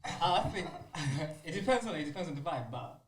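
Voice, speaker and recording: A man's voice: on the high side, lively intonation, a little fast, slight background noise. A man whose first language is Swahili, a music group member chatting as a guest, laughing and repeating words. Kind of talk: casual interview chat.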